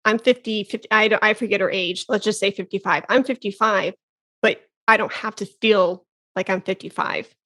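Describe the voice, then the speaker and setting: A woman's voice: medium pitch, steady tone, moderate pace, bright, forceful tone. A woman in her thirties hosting a podcast, chatting casually and exclaiming often with laughs. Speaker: podcast host. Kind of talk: casual interview chat.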